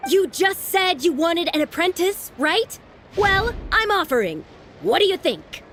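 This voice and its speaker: A woman's voice: very high-pitched, big pitch swings, a little slow, fairly bright tone, a little hiss or hum, heavily acted. A woman voicing a headstrong, anxious young girl in a video game, insistent and easily flustered.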